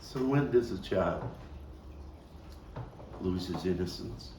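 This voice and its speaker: A male actor plays a haunted Brooklyn man telling his boyhood story in a stage monologue. His lowish voice moves very slowly and haltingly, leaving heavy pauses. The recording is echoey, picked up at a distance from the mic. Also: animated, darkish tone. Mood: mournful, wistful. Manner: halting, deliberate, with repeats and weighty pauses. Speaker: actor and playwright reading his own monologue. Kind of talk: dramatic monologue at a table read. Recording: roomy, distant mic with faint background noise.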